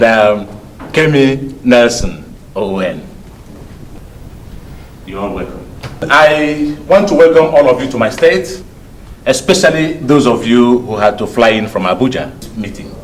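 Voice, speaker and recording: An actor with a Nigerian accent playing a courteous, devout state governor, speaking with formal, measured ceremony in a TV drama. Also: male voice, close to an everyday voice. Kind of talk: TV drama acting. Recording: roomy, distant, faint rumbling noise.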